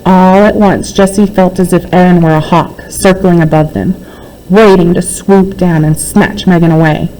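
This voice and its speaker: A woman's voice: fairly low pitch, steady tone, moderate pace, mellow, slight background noise, rough recording. A woman reading a children's book aloud as a narrator. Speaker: read-aloud reader.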